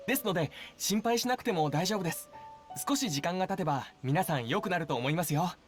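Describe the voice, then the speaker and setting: A man's voice: sits high, animated, rapid-fire, a bit bright. Courteous soldier guy, formal and speedy, game music behind. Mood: reassuring. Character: polite, reassuring male soldier. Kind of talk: Japanese game dub.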